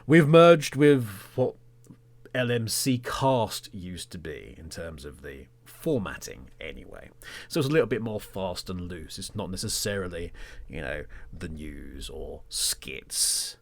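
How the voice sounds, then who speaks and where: Very deep-voiced man hosting a podcast. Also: very animated, a little slow, vocal fry. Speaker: podcast host and presenter. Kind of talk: reflective podcast monologue.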